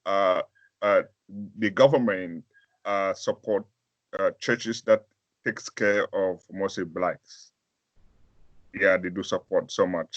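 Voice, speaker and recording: A man from Africa, a pastor, answering interview questions in a halting way, with many fillers and restarts and a deep voice. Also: a bit bright, dry recording, dull audio.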